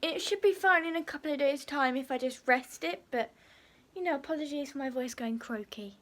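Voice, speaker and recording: A woman talks to her viewers in a loose, chatty vlog, circling back and repeating her points. Her voice sits high and has gone croaky from a sore throat. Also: fairly quick, fairly bright tone. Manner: chatty, rambling, repeats herself. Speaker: vlogger. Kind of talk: casual vlog chat.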